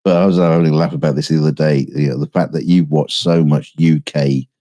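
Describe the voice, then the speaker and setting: A man's voice: very deep voice, fairly even intonation, brisk, dark tone. A man co-hosting a podcast, chatting casually with rambling restarts. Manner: rambling, stammering restarts, laughs at own jokes. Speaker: podcast co-host. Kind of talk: casual chat.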